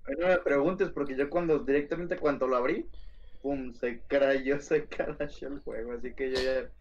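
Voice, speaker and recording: A man's voice: mid-range voice, steady tone, normal speed, muffled recording. A young man chatting with a friend over a gaming session, laid-back and slangy, laughing at his own mishaps. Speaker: co-player on call. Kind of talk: casual gaming chat.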